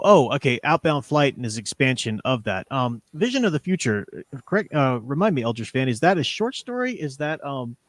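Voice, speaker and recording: A man with a mid-pitched voice hosts a casual discussion stream. He speaks with enthusiasm in a conversational style. The recording is close and dry, with very little room sound. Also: dry recording. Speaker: show host reading chat messages. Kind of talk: casual book discussion on a livestream.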